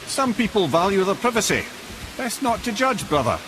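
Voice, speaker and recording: An actor playing a wry, courtly old talking head and wise advisor in a video game. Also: male voice, high voice, big pitch swings, moderate pace, background noise. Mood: wry, storytelling. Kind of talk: cinematic video game acting. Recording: music behind the voice.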